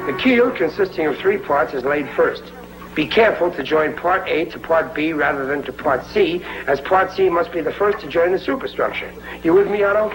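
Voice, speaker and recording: A man's voice: a little high, expressive, moderate pace, natural voice, poor audio. An actor playing a bumbling, easily flustered working-class family man in a 1950s TV sitcom, folksy and ungrammatical. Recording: old TV soundtrack, loud music.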